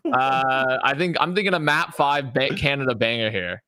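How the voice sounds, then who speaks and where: Bright, punchy male podcast panelist. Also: mid-range voice, normal speed, dry recording, clean studio sound. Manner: emphatic, repeats phrases for stress. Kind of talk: casual, sweary sports analysis chat.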